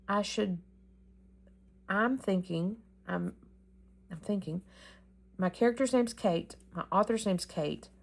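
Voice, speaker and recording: A woman's voice: fairly low pitch, vocal fry, a little mellow. A woman chatting casually about her reading challenge plans, rambling and restarting as she thinks aloud.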